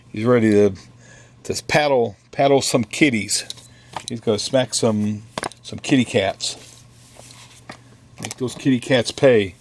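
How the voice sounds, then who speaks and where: A man's voice: medium pitch, slight background noise. A man running a comic shop, talking casually through the week's new comics with offhand joking asides. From United States. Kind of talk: casual product run-through. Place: comic book store.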